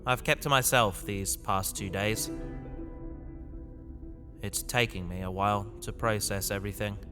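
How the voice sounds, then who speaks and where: A man playing an anguished young fugitive recording a journal in a sci-fi audio drama, brooding and halting. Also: fairly low pitch, fairly even intonation. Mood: brooding, weary. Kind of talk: science fiction audio drama monologue. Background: music.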